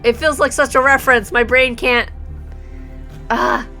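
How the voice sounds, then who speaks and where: A woman doing a casual let's play, reading game dialogue aloud and reacting with excitable, self-deprecating asides. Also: high-pitched, measured pace, faint music behind.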